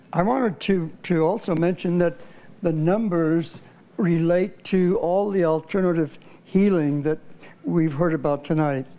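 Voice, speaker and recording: A man's voice: on the high side, mellow. Earnest man explaining numerology. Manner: earnest, lists ideas steadily with fillers. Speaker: numerologist guest. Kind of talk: explanatory interview talk.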